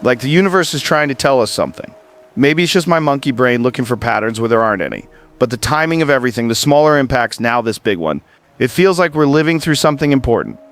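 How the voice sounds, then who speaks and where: A man's voice: mid-range voice, animated, quick pace, a bit bright, soft background music. A man playing a laid-back, curious podcast host in an audio drama, talking in a chatty, musing and informal way. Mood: uneasy, musing. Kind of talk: casual podcast interview in a scripted audio drama.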